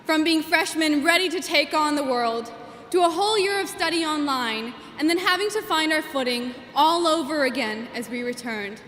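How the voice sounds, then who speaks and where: A young woman in her twenties whose first language is Gujarati, giving an earnest, measured commencement speech as class valedictorian at a podium microphone. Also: high-pitched, fairly bright tone, slight background noise. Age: 20s. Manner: earnest, measured, with emphatic phrasing. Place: large commencement hall. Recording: echoey hall with long reverb.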